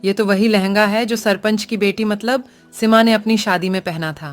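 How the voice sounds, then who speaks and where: A woman voicing a sweet, dutiful young village daughter, caring and soft-hearted, in an animated moral story. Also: medium pitch, moderate pace, soft background music, close to an everyday voice. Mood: grateful.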